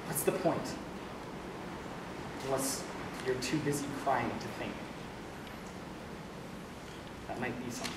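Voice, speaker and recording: A man's voice: mid-range voice, lively intonation, normal speed, natural voice. A man playing a wounded, sarcastic bullied schoolboy in an amateur stage play, with a sardonic delivery. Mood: resigned. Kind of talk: amateur one-act stage play monologue. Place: stage performance in a room or hall. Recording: very noisy, echoey, distant stage recording.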